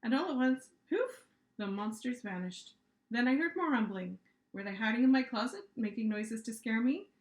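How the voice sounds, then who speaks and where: A woman reading a children's story aloud as an author, with expressive narration. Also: a little high, normal speed. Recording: echoey room.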